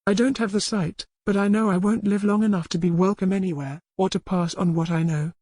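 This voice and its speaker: A woman's voice: mid-range voice, steady tone, a little fast, dark tone, no echo, studio quality, lightly acted. A woman voicing a wronged, defiant old healer woman in an audiobook historical tale. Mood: resigned, bitter.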